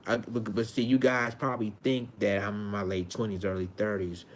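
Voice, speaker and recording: A man in his forties from Arkansas with a high-pitched voice, talking earnestly to his viewers in a casual monologue, often repeating and restarting his phrases. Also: level tone, brisk, slight background noise. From Arkansas, United States. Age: 40s. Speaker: vlogger.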